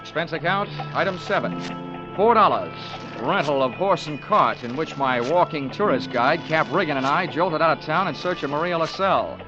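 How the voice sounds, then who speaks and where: A man's voice: on the high side, animated, moderate pace, natural voice. A man playing a wisecracking American investigator in a 1940s radio drama, clipped and sardonic. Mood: wry, narrating. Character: wisecracking, hard-boiled American insurance investigator. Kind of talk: 1940s radio acting, first-person narration. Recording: dull vintage radio recording, music behind.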